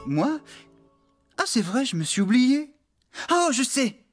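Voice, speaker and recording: An actor voicing an excitable, bossy but kindly farmhand in a French children's cartoon dub. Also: male voice, very high voice, slow, faint music behind, heavily acted. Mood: excited, eager. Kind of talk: animated children's cartoon dubbing.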